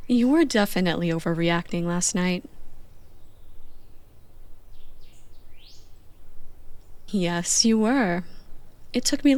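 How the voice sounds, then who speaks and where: A woman playing a playful, bossy young girlfriend on a slow morning in an intimate, conversational ASMR roleplay. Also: mid-range voice, darkish tone, no echo, close to an everyday voice. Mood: playful teasing.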